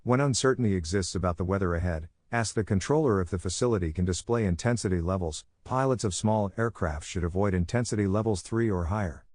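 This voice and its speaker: A man reading a technical handbook aloud as scripted audiobook narration, in a measured, instructional manner. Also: low voice, darkish tone, dry recording, studio quality.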